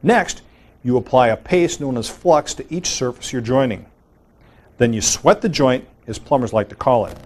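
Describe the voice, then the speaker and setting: Male how-to host, mid-pitched, calm demonstrator voice, walks through each step plainly. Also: moderate pace. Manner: plain, steady explaining while demonstrating. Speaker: home improvement host.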